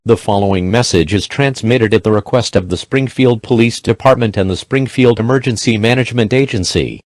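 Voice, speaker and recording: A man's voice: on the low side, a little mellow, no echo. A man reading a scripted emergency broadcast as an alert announcer.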